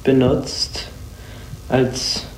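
Man, mid register, extremely unhurried casual explainer, roomy recording with clicks. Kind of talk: casual explanation with hummed motif. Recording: echoey, faint noise and clicks.

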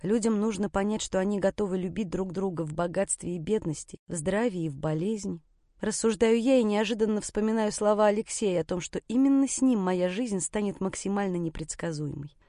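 A woman's voice: mid-range voice, a little fast, mellow, no room sound, clean studio sound. A woman reading a scripted first-person story as an audiobook narrator.

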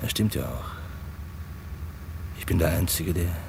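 An actor playing a tormented man who mutters a halting inner monologue in a vintage radio crime play. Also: low voice, animated, creaky, full character voice. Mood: brooding, resigned. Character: brooding, tormented man agonizing over reporting a murderer. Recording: old radio recording, car noise behind.

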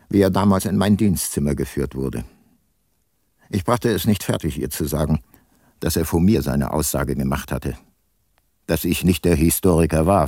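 An actor playing a guilt-ridden older detective, a former prosecutor, in reflective first-person radio drama narration. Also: male voice, deep voice, darkish tone, dry recording, clean studio sound. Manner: sombre, reflective.

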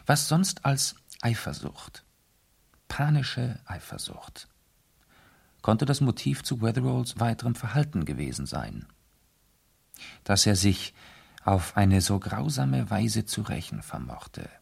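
A man acting a suave aristocratic amateur detective in a 1970s German radio drama, measured and theatrical with dramatic pauses. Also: lowish voice, sing-song, vocal fry, slightly rough, full character voice. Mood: grave, deliberate. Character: suave gentleman amateur detective lord recounting a cruel case.

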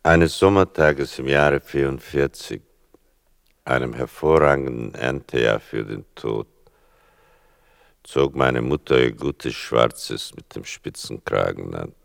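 Very deep, gravelly, pedantic storytelling son. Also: male voice, dry recording, clean studio sound, full character voice. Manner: deliberate, pedantic. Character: exacting son narrating his mother's story. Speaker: narrator. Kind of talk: radio play narration.

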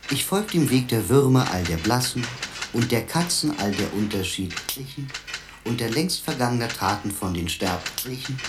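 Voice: medium pitch, natural voice. An actor as a verse-reciting computer amid mechanical noise. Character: verse-writing computer machine reciting a sonnet. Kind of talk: verse recitation in a radio play. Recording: clearly noisy, mechanical clatter and clicking.

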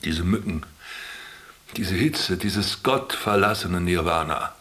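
A man's voice: deep voice, expressive, slow, fairly bright tone, full character voice. A man in a drama playing a weary, complaining patient longing for the outside world. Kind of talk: stage drama acting.

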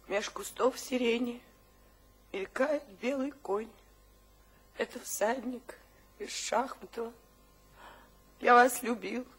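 An actress playing an anguished, penitent wife who failed on the stage, in a theatrical radio drama. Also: female voice, fairly high pitch, slightly rough, full character voice, low-quality recording.

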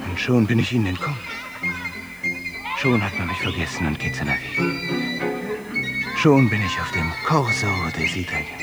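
An actor playing a whimsical, smug fantasist on the run, declaiming theatrically in a radio play. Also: male voice, low-pitched, animated, moderate pace, pauses often. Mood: excited, fleeing. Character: whimsical, smug fugitive fantasist man, oddly carefree. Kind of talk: theatrical avant-garde radio play monologue. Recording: loud music behind the voice.

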